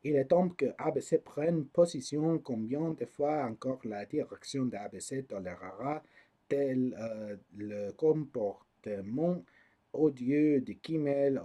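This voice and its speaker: A man reading news aloud in French as a non-native speaker, adding halting commentary with many fillers and frequent mocking laughter. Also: mid-range voice, mellow, slightly rough. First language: not French. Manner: laughs often, swears mockingly at figures in the news.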